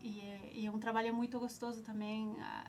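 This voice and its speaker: A woman's voice: fairly high pitch, level tone, brisk, slight background noise. A woman in her forties from Ecuador, a native Spanish speaker with an Ecuadorian Spanish accent, reflectively recalling her story as an interview guest and often restarting as she searches for words. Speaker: nutritionist, alumna guest. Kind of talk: reminiscing interview answers.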